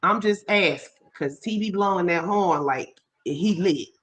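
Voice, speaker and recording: A woman's voice: lowish voice, a little slow, breathy edge. A woman co-hosting a live stream, chatting casually in a commanding, lecturing tone. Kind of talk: casual commentary chat.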